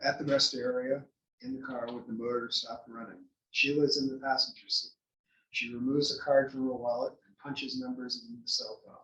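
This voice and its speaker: A man reads stage directions in scripted narration. His voice is mid-pitched and sounds distant, with plenty of room echo. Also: little pitch movement, normal speed, fairly bright tone, muffled recording. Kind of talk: scripted narration at a table read. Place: library room, table read. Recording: echoey library room, distant mic.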